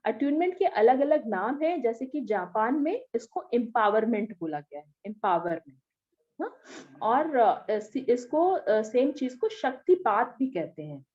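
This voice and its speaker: A woman with a high, lively voice teaches an online class, explaining step by step and often checking that her listeners follow. Her throat is slightly hoarse. The audio is dull and lo-fi, like a video call. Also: measured pace. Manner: explanatory, often asks "ठीक है?" to check understanding. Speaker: Reiki teacher.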